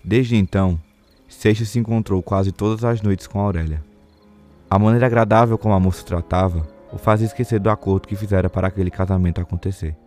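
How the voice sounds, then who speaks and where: A man's voice: fairly low pitch, steady tone, quick pace, darkish tone, faint music behind. A Brazilian man reading scripted narration for a radio soap opera.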